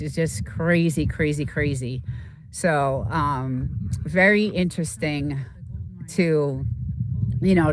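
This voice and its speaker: A woman's voice: low-pitched, noisy background. A woman host chatting casually and informally on a livestream, rambling and often repeating herself as she talks to viewers.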